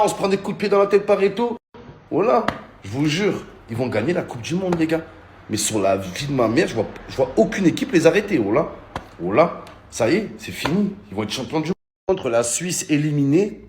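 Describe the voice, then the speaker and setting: Animated, high-pitched comic ranter. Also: male voice, slight background noise. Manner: animated, mocking, swings to shouts. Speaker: comedian. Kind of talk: casual comic rant to phone camera.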